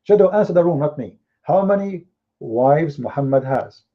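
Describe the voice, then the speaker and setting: A man with an Arabic accent argues his point hard in a live debate, pressing his opponents with mocking rhetorical questions. His voice sits a little high for a man. The audio is thin and boxy, like a phone line. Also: lively intonation, moderate pace. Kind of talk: heated live online debate.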